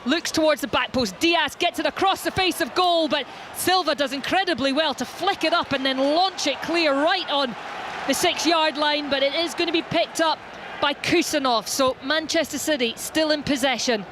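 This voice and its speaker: High-voiced woman calling live football. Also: moderate pace. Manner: rises to shouts at goalmouth action. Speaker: play-by-play commentator. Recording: noisy background.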